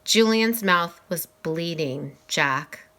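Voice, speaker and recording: A grave male principal from a children's story, voiced by a woman reader. He is concerned and serious, speaking deliberately in a bright, emphatic tone. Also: on the low side, expressive, heavily acted. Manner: serious, measured, questioning.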